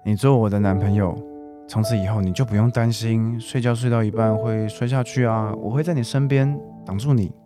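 A man in his forties played by a young male actor speaks in a low voice over plucked guitar. He is a teasing, persuasive and earnest suitor in a radio drama. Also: steady tone, brisk, close to an everyday voice. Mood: romantic, pleading.

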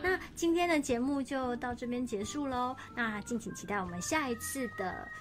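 A woman's voice: fairly high pitch, moderate pace. Giggly young woman chatting. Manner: giggly, chatty, laughs often. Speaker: radio drama co-host and voice actor. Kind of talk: casual chat. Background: music.

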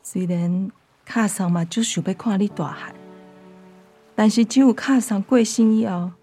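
An actress playing a young woman who channels a tender, self-sacrificing mother's ghost, gentle and earnest, with a Taiwanese accent, in a radio drama. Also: mid-range voice, measured pace, faint music behind, lightly acted. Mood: tender, sorrowful.

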